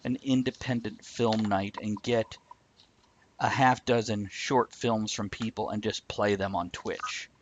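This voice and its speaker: A man's voice: on the low side, steady tone, measured pace. A man hosting a casual live talk show in a joking, irreverent, teasing manner, with some mild swearing. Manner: irreverent, teasing, mildly profane. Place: live internet stream. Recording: dull lo-fi audio, slight noise.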